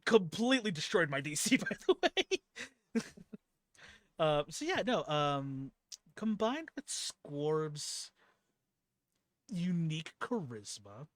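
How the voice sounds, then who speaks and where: A man's voice: a little high, sing-song, slow, long pauses, no echo. A man running a tabletop game as game master, chatting casually and laughing often between his words. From Philippines heritage.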